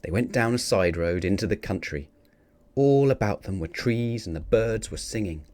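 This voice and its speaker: A man's voice: fairly low pitch, animated, moderate pace, darkish tone, no room sound. A man narrating a children's picture book expressively, theatrical on the sound-effect words. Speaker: storybook reader.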